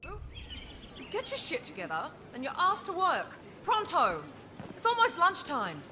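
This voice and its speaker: An actress playing a bossy, exasperated sister with an impatient, scolding way of talking. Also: female voice, very high-pitched, wildly swooping pitch, normal speed, bright, forceful tone, a little hiss or hum, heavily acted. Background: music.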